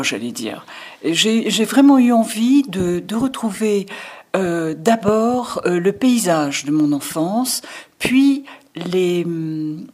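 A woman from the south of France answering interview questions in a reflective, hesitant way with many pauses. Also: lowish voice, darkish tone, slightly rough. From Pyrénées-Orientales, France. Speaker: author guest.

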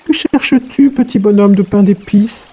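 A woman voicing a sly, smooth-talking fox in a storybook reading, speaking in a coaxing, wheedling way. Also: mid-range voice, normal speed, dark tone, lightly acted, low-quality recording.

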